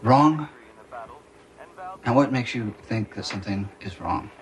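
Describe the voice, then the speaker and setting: A middle-aged man playing a gentle, playful father in a naturalistic TV drama, tender and coaxing. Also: medium pitch, animated, a little slow, natural voice, rough recording. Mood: wry, casual. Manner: tender, coaxing, often hushed. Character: gentle, playful father soothing his frightened child.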